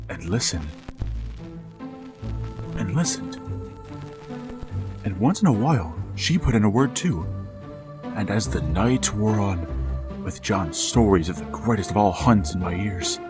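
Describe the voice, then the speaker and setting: A low, unhurried voice tells the tale as a haunted, resigned old father, played by a man. Each line comes out slow and halting, with clear music playing under him, as in a vintage-style radio horror play. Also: animated. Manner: slow, halting, hushed storytelling. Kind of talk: radio drama narration.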